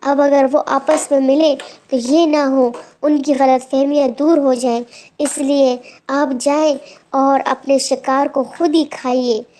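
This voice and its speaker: A woman's voice: high-pitched, level tone, takes it slowly, warm tone. A woman voicing a sly, scheming female fox in a children's moral story. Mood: scheming, urging.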